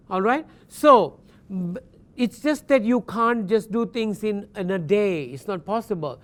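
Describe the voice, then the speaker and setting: Patient teacher spelling words out slowly. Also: mid-range voice. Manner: patient and repetitive, checks in with "Understand?"; switches between English and Thai mid-sentence. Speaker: university English teacher. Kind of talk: recorded distance-learning lecture.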